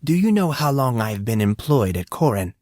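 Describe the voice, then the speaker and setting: A man's voice: mid-range voice, sing-song, moderate pace, a little mellow, dry recording, clean studio sound, close to an everyday voice. A man voicing an amused, courteous school employee who speaks formally and precisely, patiently explaining. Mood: calm, amused.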